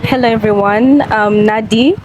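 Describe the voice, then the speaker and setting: A young woman from Nigeria with a Ugandan English accent, answering interview questions in short, soft replies. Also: a little high, moderate pace, lo-fi sound. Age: young adult. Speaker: interview guest. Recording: street traffic noise behind.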